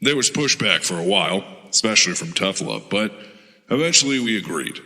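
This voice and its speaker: Major Liberty, a low-voiced veteran super-soldier. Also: male voice, fairly quick, exaggerated voice, poor audio. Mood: calm. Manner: steady recounting, low-key storytelling. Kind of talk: audio drama acting.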